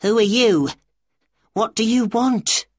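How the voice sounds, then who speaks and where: A narrator voicing a weak, nervous little man in an audiobook story, plaintive and easily excited. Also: mid-range voice, slow pace, no echo, studio quality, pitched above the performer's own voice. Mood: fearful, wary. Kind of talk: audiobook character dialogue.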